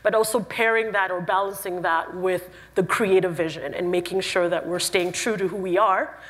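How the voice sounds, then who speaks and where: A woman's voice: fairly low pitch, normal speed. Woman from cameroon giving a jokey talk, lots of hall echo. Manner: lively, playful, jokey. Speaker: conference speaker. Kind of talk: conference talk. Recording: reverberant hall.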